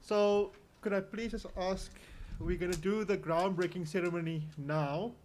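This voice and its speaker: A man with a South African accent acting as master of ceremonies, giving relaxed, halting instructions inside an event tent. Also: high voice, a little hiss or hum. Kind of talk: event announcements.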